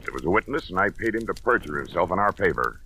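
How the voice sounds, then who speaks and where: A man's voice: deep voice, very animated, fast, bright, forceful tone, exaggerated voice. An actor playing a dignified, guarded middle-aged lawyer and father in an old-time radio drama, formal and authoritative. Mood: grave, resigned. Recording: noisy vintage radio drama recording.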